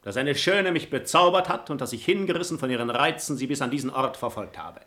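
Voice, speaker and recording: A man's voice: mid-range voice, animated, fairly quick, bright, forceful tone. An actor playing an arrogant, seductive nobleman in a classical radio play, eloquent, theatrical and self-assured. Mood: self-satisfied, scheming.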